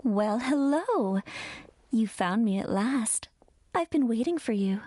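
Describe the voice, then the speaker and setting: Coy, high-voiced flirtatious perfume maker. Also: female voice, expressive, slow, mellow, slight background noise. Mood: coy, teasing. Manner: coy, teasing, drawling. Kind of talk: video game character acting.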